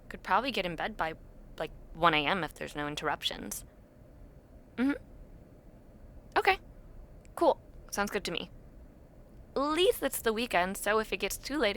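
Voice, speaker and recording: A young woman playing a friendly, sentimental university student chatting casually and intimately with a close friend in an audio roleplay, gently teasing and soft-hearted. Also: mid-range voice, creaky, a little hiss or hum.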